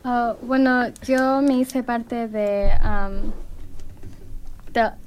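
A woman's voice: on the high side, fairly even intonation, very slow, darkish tone, slight background noise, low-quality recording. A young woman student from Ecuador answering casually in a group interview, with many fillers. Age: young adult. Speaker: university student.

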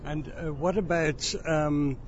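A man's voice: fairly high pitch, fairly even intonation, takes it slowly. A man from England, hosting a podcast interview, telling anecdotes and laughing easily. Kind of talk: chatty interview. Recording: dull lo-fi audio with faint background noise.

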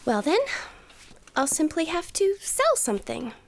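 Radio drama: anxious, devoted young wife, high pitch, sighing, hushed moments, some fry. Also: female voice, very animated, unhurried, a little hiss or hum, full character voice. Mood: hopeless, then hesitant. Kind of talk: stage-style radio drama acting.

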